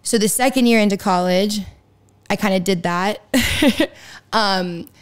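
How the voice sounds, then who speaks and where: Raspy young woman, chatty and smooth. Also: medium pitch, a little slow. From Pittsburgh area, US. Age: 20s. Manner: chatty, lots of likes and laughs. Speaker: podcast guest. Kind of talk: casual interview chat.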